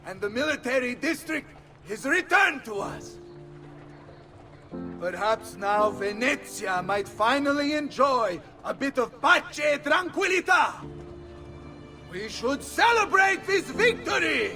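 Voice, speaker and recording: A boisterous game mercenary captain, with an Italian accent. His loud voice rides very high and swoops widely, with music clearly underneath. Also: big pitch swings, slow pace, full character voice. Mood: triumphant. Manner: loud, blustering. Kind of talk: video game cutscene acting.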